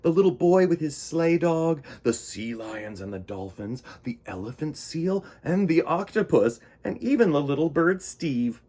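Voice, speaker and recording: A man hosting a children's storytime, reading picture books and chatting in simple, expressive, conversational language. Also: fairly high pitch, measured pace, hardly any pauses. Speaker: host of a children's storytime show.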